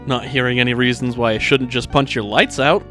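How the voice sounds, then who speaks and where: A man's voice: medium pitch, fairly even intonation, fairly quick, a bit bright, lightly acted. A man plays a towering, short-tempered young man in an anime fan drama. He talks in a mid-range male voice, blunt and surly, always ready for a fight. Music runs behind him. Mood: hostile. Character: very tall, gruff, irritable young man, belligerent. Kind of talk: anime fan fiction character acting.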